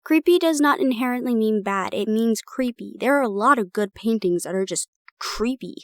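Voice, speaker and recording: A young woman voices a protective roommate who scolds and comforts in turn. Her voice sits a little high. It is recorded close and bone dry. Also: no echo, natural voice. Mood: chiding chat. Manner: brisk and bossy, then soft and soothing. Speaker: caring roommate. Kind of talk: improvised ASMR roleplay.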